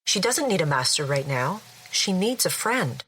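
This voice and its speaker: A woman's voice: lowish voice, big pitch swings, moderate pace, slightly rough, heavily acted. A woman playing a wise older female general in an audio drama, terse, dry and commanding. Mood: stern, dry. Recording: voice under loud music.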